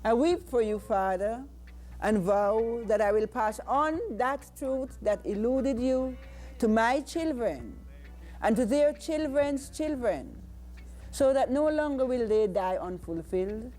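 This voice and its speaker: An older Jamaican woman reciting poetry in a solemn, measured, declamatory manner. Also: on the high side, warm tone. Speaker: poet.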